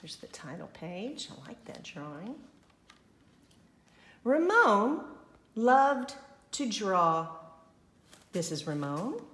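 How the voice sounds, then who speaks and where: Slow, bright-voiced woman reading to children. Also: medium pitch. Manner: expressive, simple child-directed explanations and questions. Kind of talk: read-aloud storytime with explanatory asides.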